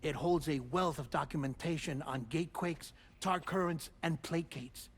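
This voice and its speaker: An actor playing a warm, nostalgic scientist in a video game cutscene, speaking in a deliberate, formal, explanatory way on a recorded message. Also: male voice, on the high side, husky. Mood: matter-of-fact, explanatory.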